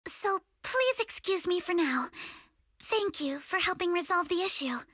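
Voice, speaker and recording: A polite honorable young game noblewoman, super high n bright, really formal. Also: animated, unhurried, dry recording, studio quality, full character voice. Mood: apologetic, polite. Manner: formal, courteous, proper. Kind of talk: video game voice acting.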